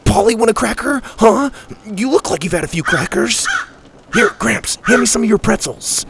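Man as a cheeky, high-pitched young boy. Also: expressive, moderate pace, creaky, heavy background noise. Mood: pleading, cheeky. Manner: wry, cheeky. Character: bold, wisecracking young boy, a twin grandson.

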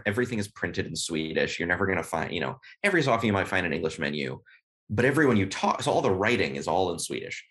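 An American man, a podcast guest, talking casually and thoughtfully in an interview, often pausing with "uh" and "you know". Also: sits low, fairly bright tone. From United States. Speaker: podcast guest, game writer.

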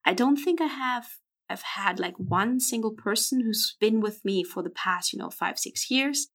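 A woman's voice: on the high side, lively intonation, normal speed, a bit bright. A woman in her twenties, a podcast guest talking frankly and reflectively in a casual interview. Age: 20s.

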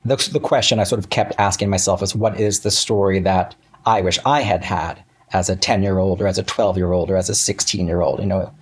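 An American man with a lowish, slightly rough-edged voice speaks as a guest in a casual interview. He restarts and corrects himself often as he works through his thoughts. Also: steady tone, brisk, few pauses. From Central Florida, United States.

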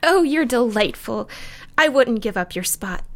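A woman's voice: on the high side, animated, measured pace. An actress playing a gracious, bookish mid-century book club lady in an audio drama, polite, refined and encouraging. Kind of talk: period audio drama dialogue.

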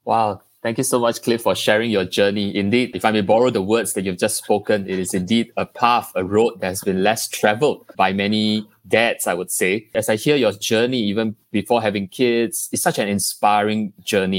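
A man's voice: lowish voice, fairly even intonation, normal speed, hardly any pauses, no room sound. A man hosting a podcast interview, polite and formal, thanking his guest often. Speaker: podcast host.

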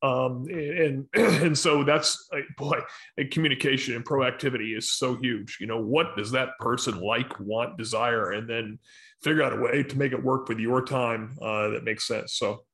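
An American man with a medium-pitched voice leads a professional discussion. He explains in a measured, instructive way and leans on key words for emphasis. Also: steady tone, fairly bright tone. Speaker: sports sponsorship professional leading a discussion.

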